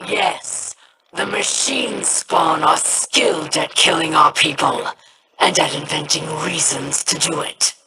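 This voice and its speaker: Cruel alien warlord, taunting, slow, noisy game audio. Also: female voice, low-pitched, animated, exaggerated voice. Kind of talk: video game voice acting.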